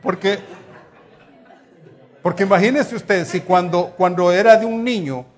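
A man's voice: high voice, a little slow, some chatter behind. A middle-aged man with a Salvadoran accent preaching a conversational sermon, teaching with rhetorical questions and repeated phrases. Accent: Salvadoran Spanish.

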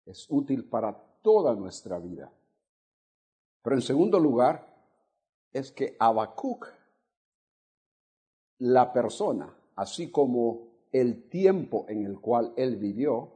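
An older man from Honduras with a faded Honduran accent, a guest preacher teaching a Bible study to a congregation, measured, stretching key words and putting questions to the listeners. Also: medium pitch, sing-song, mellow. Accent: Honduran Spanish, faded. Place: church sanctuary.

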